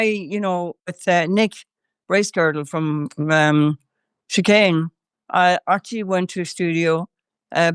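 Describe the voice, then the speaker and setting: A woman's voice: fairly low pitch, clear, resonant tone, no room sound, studio quality. An older woman from Ireland, a singer interviewed as a guest, talking in a rambling way with many fillers and restarts while chewing gum. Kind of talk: casual interview chat.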